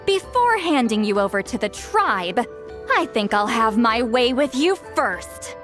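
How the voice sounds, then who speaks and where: An actress playing a proud, sharp-tongued shaman in a video game, imperious and menacing in manner. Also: on the high side, sing-song, a little slow, faint music behind. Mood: cool menace. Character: proud, sharp-tongued, long-lived shaman woman with youthful voice.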